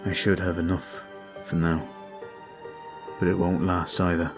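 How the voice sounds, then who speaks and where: A man voicing a brooding male vampire who is weary and guilt-ridden, talking slowly and softly in an ASMR roleplay. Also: deep voice, little pitch movement. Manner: slow, soft, confiding. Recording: faint music behind.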